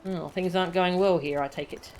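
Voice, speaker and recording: A woman's voice: on the low side, brisk, a little hiss or hum. A woman casually commenting to herself while playing a video game on stream. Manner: relaxed, musing to herself. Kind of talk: casual let's-play commentary.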